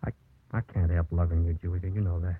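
Male, protective earnest lawyer, deep and husky, reassuring, dry muffled old radio recording. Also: level tone, brisk, dry recording. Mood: tender, urgent. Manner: gentle, reassuring, insistent. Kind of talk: 1950s radio drama acting.

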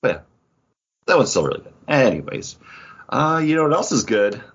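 A man's voice: mid-range voice, sing-song, moderate pace, breathy edge. A man talking casually as a podcast host, in dull lo-fi audio. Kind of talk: casual chat.

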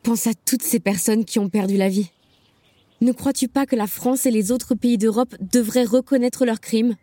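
A woman's voice: fairly high pitch, steady tone, normal speed, mellow, no room sound, close to an everyday voice. A woman playing a resolute camp survivor who urges remembrance, speaking in an earnest, insistent way in an audio drama. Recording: music playing behind the voice.

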